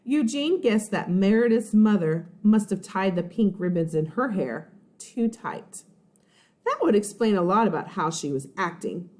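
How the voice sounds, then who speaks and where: Mellow, animated woman reading to children. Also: mid-range voice, measured pace. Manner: animated, explains and checks in with young listeners. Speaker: first-grade teacher reading aloud. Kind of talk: expressive children's storybook read-aloud with asides.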